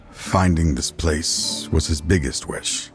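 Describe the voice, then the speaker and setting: Over clear background music, a weary middle-aged father with a very low voice. He is a grave, remorseful official in a game drama, resolute in his halting delivery. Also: a bit bright, exaggerated voice. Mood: weary, regretful. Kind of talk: dramatic video game dialogue.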